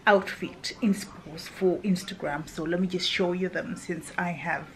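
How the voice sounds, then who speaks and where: A woman's voice: lowish voice, fairly even intonation, a little slow. A woman in her thirties, not a native English speaker, chatting earnestly to camera about skincare and restarting often. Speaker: beauty vlogger. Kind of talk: casual skincare review to camera. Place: hotel room, indoors. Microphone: camera at arm's length. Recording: echoey room, faint background hum.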